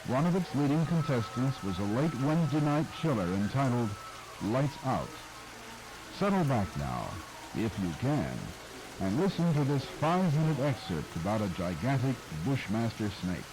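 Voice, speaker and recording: A man narrating the history of radio drama in a measured, scripted manner. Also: medium pitch, sing-song, background noise.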